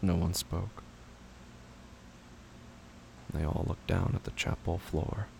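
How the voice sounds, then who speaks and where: Guy narrating horror story, super gravelly and deep, somber, measured, faint ambient noise behind. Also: fairly even intonation, mellow. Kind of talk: scripted horror fiction narration.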